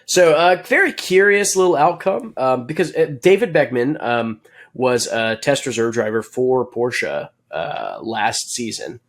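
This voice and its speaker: A man's voice: mid-range voice, very animated, measured pace. A man co-hosting a podcast, recapping racing in casual chat, rambling with many fillers and jokes. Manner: rambling, filler-heavy, joking and laughing. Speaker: podcast co-host.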